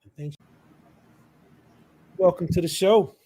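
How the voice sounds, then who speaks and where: A man's voice: high voice, slow pace, mellow. A man hosting a live stream, chatting casually and informally with his viewers, with trailing asides. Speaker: live stream show host.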